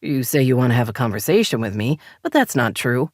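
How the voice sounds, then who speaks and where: A defensive, sulky husband, read by a woman in a low, even voice. He sounds nervous and wounded rather than loud. The recording is close and dry. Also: a little fast, dry recording, full character voice.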